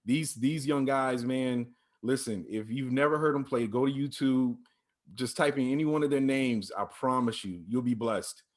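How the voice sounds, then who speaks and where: A man's voice: medium pitch, normal speed. Encouraging rambling male host. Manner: rambling, repeats phrases, encouraging. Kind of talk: casual church-music interview.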